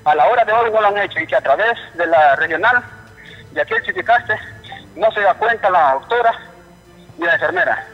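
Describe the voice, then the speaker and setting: A man with a Honduran accent calling a live news programme by phone, speaking in an indignant, halting way and repeating himself. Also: high-pitched, sing-song, creaky, soft background music. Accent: Honduran Spanish. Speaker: phone-in caller, relative of a patient. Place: telephone call to a TV studio. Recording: muffled, reverberant phone line.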